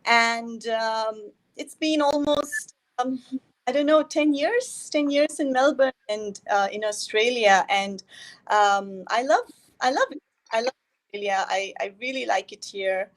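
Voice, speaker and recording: A woman's voice: a little high, unhurried, rough recording. A woman from India, a guest in a casual interview over a video call, talking hesitantly with many fillers.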